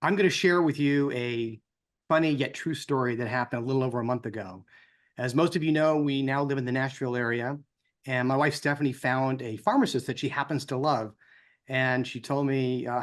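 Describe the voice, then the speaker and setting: A man's voice: mid-range voice, steady tone, a little fast. An American man giving an informal, conversational speech as a co-founder, in the manner of someone telling personal anecdotes. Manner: conversational, acts out dialogue in anecdotes.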